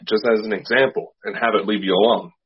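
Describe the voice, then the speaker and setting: A low-voiced, husky man co-hosting a podcast, filling in folklore details in an even, unhurried way. The recording is dull and lo-fi. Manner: measured, explains folklore, unscripted. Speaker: podcast co-host. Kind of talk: casual chat.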